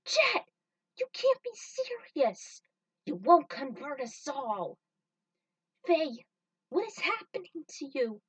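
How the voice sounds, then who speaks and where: A defiant bounty hunter hero in an adventure tale, acted out by a woman. The voice is raised, forceful and indignant, slightly back from the microphone. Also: high voice, very animated, slow pace, long pauses, no room sound, far from the mic, voice pushed up, exaggerated voice, rough recording. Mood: shocked.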